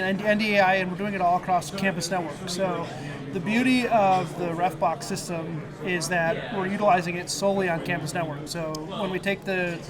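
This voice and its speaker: A man giving explanatory interview answers as a university video production staffer. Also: high-pitched. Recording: busy crowd noise behind. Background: convention crowd chatter.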